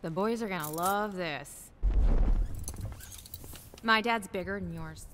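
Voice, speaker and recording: A woman's voice: mid-range voice, slow, noisy background, close to an everyday voice. An actress voicing a confident, wisecracking young woman soldier in a fighting game, with a sassy delivery. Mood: cocky. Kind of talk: video game intro banter.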